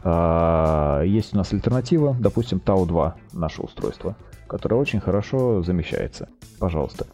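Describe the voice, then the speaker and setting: A man explaining products as a guest in a podcast interview, speaking haltingly with drawn-out hesitations. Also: sits low, very animated, warm tone, faint music behind. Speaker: guest, telecom equipment maker's project manager.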